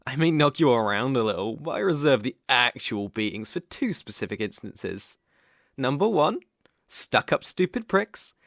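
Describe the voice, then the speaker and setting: A man's voice: medium pitch, very animated, normal speed, fairly bright tone, no room sound. Man acting a cocky British college bully, close-up. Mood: cool warning. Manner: teasing, condescending, swaggering. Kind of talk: ASMR roleplay acting.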